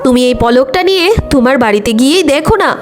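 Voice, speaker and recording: A woman plays a gentle, kindly fairy in a children's cartoon. Her voice is very high, with music behind it. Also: animated, quick pace. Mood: kind, reassuring.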